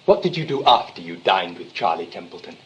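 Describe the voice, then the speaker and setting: An actor playing a temperamental, lovesick young upper-class English gentleman in a stage-style comedy, gushing and petulant by turns. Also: low voice, very animated, a little fast, highs cut off, slight background noise. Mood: sulky. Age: young adult.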